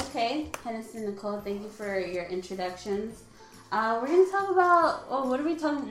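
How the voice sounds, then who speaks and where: A woman's voice: mid-range voice, a bit bright, roomy sound, low-quality recording. A woman in her late twenties hosting a casual chat with friends, chatty and swearing, laughing often. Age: 20s. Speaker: podcast host.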